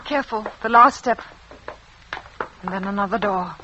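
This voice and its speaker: An actress playing a doctor's wife, a tense and steely French resistance conspirator, in a 1940s radio drama with deliberate dramatic pauses. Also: female voice, mid-range voice, natural voice. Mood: hushed, foreboding. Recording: muffled, noisy old radio recording.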